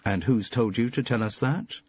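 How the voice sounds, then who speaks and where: A man's voice: mid-range voice, normal speed, darkish tone, slightly rough, no room sound, muffled recording, voice pushed up, lightly acted. A man voicing a friendly, wry woman who is an amateur sleuth.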